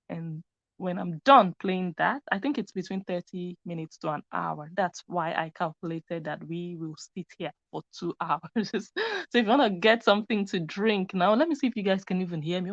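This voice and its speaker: A woman's voice: lowish voice, gravelly, no room sound. A woman hosting a live stream, commenting in a chatty way that speaks straight to her viewers. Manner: chatty, talks to viewers directly. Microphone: computer mic. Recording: dry, dull lo-fi sound.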